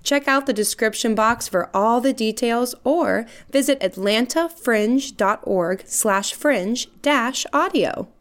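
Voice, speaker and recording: Slow, high-voiced woman announcer. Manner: clear, measured reading. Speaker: festival announcer. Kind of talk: scripted promotional announcement.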